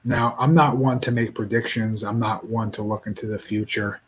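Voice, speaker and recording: A man in his forties speaking in a casual reflective monologue, rambling and halting with many restarts. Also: fairly low pitch, steady tone. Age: 40s.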